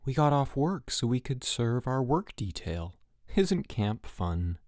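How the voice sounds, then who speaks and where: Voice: mid-range voice, slow pace, no room sound. A narrator voicing a dry, unflappable troublemaker boy at summer camp in a story, wearily sarcastic. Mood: mock gloom. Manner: deadpan, weary, mock-modest.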